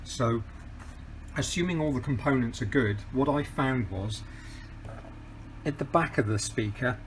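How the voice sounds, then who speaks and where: A man's voice: mid-range voice, animated, unhurried, slight background noise, low-quality recording. A middle-aged man, walking through a hands-on speaker repair step by step in a practical, methodical way, with frequent ums and uhs. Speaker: DIY repair tutor.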